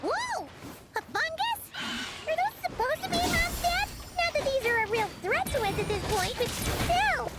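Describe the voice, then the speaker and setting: A woman voicing a tiny, excitable fairy-like girl guide in an anime video game, squeaky, childlike and chatty. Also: unhurried, music in the background, heavily acted. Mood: startled. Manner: chatty, speaks of herself in third person, exclamatory. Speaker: companion guide character.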